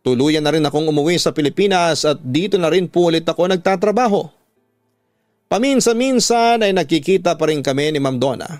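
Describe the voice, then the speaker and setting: A man's voice: fairly high pitch, brisk, a little mellow, no echo, soft background music. A man with a Filipino accent reading a scripted, dramatic first-person life story as a radio storytelling host.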